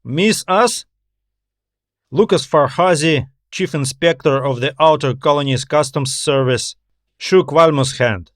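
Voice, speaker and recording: A man's voice: on the high side, a little slow, no echo, clean studio sound. A man narrating science fiction as a scripted audiobook, reading his own story.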